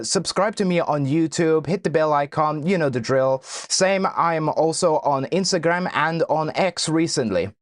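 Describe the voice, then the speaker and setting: A man introducing his interview video, halting with lots of fillers. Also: fairly high pitch, no echo, clean studio sound. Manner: hesitant, many fillers. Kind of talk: casual vlog intro and interview.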